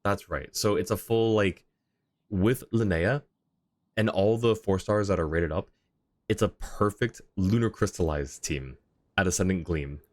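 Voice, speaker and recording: A man's voice: deep voice, measured pace, darkish tone. A man doing casual live-stream game commentary, rambling and thinking aloud as he chats with viewers. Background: faint game music.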